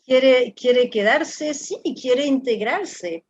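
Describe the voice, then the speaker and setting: A woman with a French accent answers an interviewer in a thoughtful, halting way, circling back on her words before she finds them. Her voice sits fairly high and sounds close and dry. The recording is dull and low-fidelity. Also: breathy edge, no room sound. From France. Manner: thoughtful, halting, repeats words while searching. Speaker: interview guest, professor. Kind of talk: reflective conversational interview.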